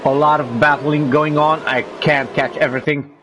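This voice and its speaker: A man with a cold commentating a sim racing league broadcast, rising in pitch when the action heats up. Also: steady tone, normal speed, background noise. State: has a cold. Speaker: race commentator.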